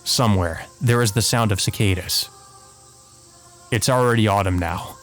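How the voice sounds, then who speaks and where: A man's voice: lowish voice, moderate pace. A man hosting a let's play, reading visual novel narration aloud and adding casual asides, with game music behind him.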